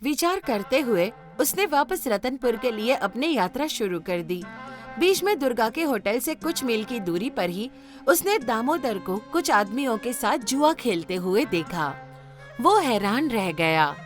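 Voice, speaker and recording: A woman's voice: a little high, sing-song, unhurried, faint music behind. A woman narrating a scripted moral story.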